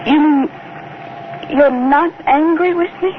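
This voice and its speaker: A woman's voice: high-pitched, takes it slowly. An actress playing an anxious, earnest young woman in trouble in a 1950s radio drama. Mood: surprised. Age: young adult. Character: anxious, pleading young woman caught in a plot. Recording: tinny, noisy 1950s radio recording.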